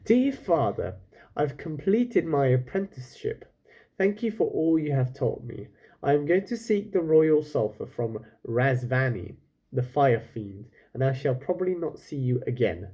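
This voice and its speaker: A man's voice: medium pitch, sing-song, unhurried, dark tone, slightly rough, low-quality recording. A man reading the part of a defiant, determined young girl apprentice in a children's storybook, indignant and stubborn. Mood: resolute.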